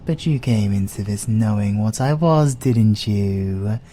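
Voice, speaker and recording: Slow, deep British vampire lord, acted by a man. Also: noisy background. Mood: taunting. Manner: deliberate, teasing, theatrical, often dropping to a whisper. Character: ancient suave male vampire lord, menacing and flirtatious. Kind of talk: intimate horror audio roleplay, ASMR.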